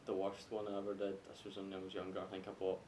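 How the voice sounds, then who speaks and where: A man's voice: monotone, rapid-fire, a little mellow. A man with a Scottish accent talking casually in a group podcast discussion. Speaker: podcast panelist. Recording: echoey room with faint rumbling noise.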